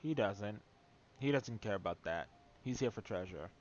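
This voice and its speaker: A man's voice: lowish voice, expressive, normal speed, dull audio, a little hiss or hum. A man streaming a video game with casual, sarcastic, teasing asides at the story. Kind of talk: casual live commentary over gameplay.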